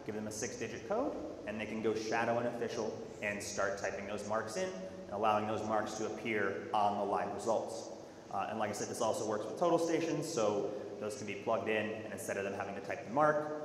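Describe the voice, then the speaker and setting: Male conference presenter, lower register, explanatory and halting, heavy hall reverb with faint noise. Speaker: software presenter. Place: large dark conference auditorium, at a podium.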